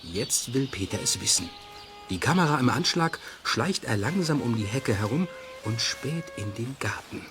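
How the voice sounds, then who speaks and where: A man narrating a children's radio play in an expressive, suspenseful storytelling manner. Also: medium pitch, moderate pace, over music, close to an everyday voice.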